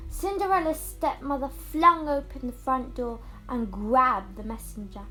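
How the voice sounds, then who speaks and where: A female reader narrating a fairy tale aloud in an expressive, scripted storytelling style. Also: high-pitched, measured pace, soft background music.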